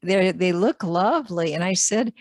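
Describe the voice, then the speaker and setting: A woman's voice: fairly low pitch, normal speed, no room sound, clean studio sound. A woman hosting a podcast, interviewing a guest and praising her work effusively. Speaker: podcast host.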